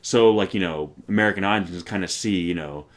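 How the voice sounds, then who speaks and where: A man's voice: deep voice, fairly quick. A man co-hosting a trailer reaction video, talking at length in an earnest, opinionated way and asking rhetorical questions. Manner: earnest, long-winded, asks rhetorical questions. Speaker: co-host of a movie trailer reaction channel. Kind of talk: casual opinionated movie talk.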